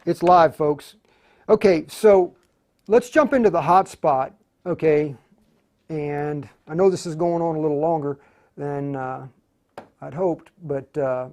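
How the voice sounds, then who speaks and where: A man hosting an unscripted live tutorial, speaking haltingly and often muttering to himself as he works. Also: a little high, a little mellow. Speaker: host of a live radio tutorial.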